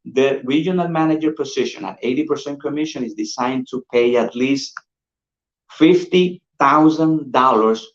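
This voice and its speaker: A man with a Colombian Spanish accent, a sales manager giving an energetic, persuasive pitch and calling his listeners "guys" over and over. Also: normal speed. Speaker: insurance sales manager presenting. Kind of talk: upbeat sales pitch on a call. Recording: dull roomy lo-fi audio.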